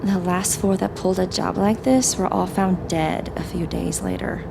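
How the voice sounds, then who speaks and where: A woman's voice: on the low side, fairly even intonation, normal speed, vocal fry, noisy background. An actress playing a cool, guarded female criminal in an audio drama. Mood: uneasy, hushed.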